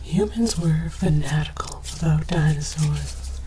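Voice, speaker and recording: A woman voicing an earnest, bemused alien archaeologist in whispered ASMR, presenting with formal, deadpan academic deliberation. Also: sits low, a little slow. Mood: earnest, scholarly. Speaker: host of an educational comedy show.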